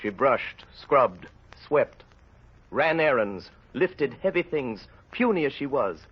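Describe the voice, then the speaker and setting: Old-time radio narrator: male, husky, grave, dramatic, dull vintage recording. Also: medium pitch, big pitch swings. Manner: grave, deliberate, theatrical. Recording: muffled old radio with music behind.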